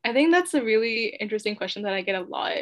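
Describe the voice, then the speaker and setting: A woman's voice: a little high, lively intonation, fast. A young woman from Hong Kong, a native Cantonese speaker, chatting as a guest in a casual interview, laughing often and using many fillers. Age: young adult.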